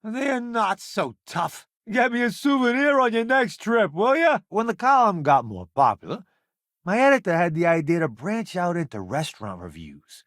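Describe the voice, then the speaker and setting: Cocky space game sidekick, highish voice, swings all over, boastful foodie who'd rather not get eaten. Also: male voice, big pitch swings, normal speed, fairly bright tone, no echo, clean studio sound. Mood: cocky, breezy. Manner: theatrical, breezy, boastful, wisecracking. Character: cocky, wisecracking male food-columnist sidekick, vain yet cowardly. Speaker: companion character in a space game. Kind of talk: animated video game voice acting.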